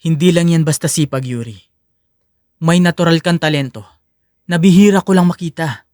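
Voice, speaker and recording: A man's voice: on the high side, normal speed, a little mellow, dry recording, studio quality, lightly acted. A man playing an encouraging male taekwondo coach, instructive and earnest, in an audio drama. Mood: sincere praise. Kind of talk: audio drama character acting.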